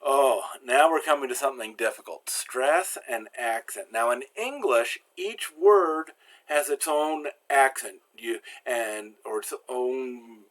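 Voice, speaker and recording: An older American man gives a relaxed, self-deprecating slide lesson from a home study, talking into a webcam. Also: medium pitch, slow pace. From United States. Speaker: teacher.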